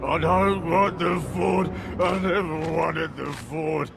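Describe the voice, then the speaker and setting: An actor voicing a broken, dazed male orc in a video game cinematic, mumbling repetitively in a halting manner. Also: fairly high pitch, steady tone, gravelly, music in the background.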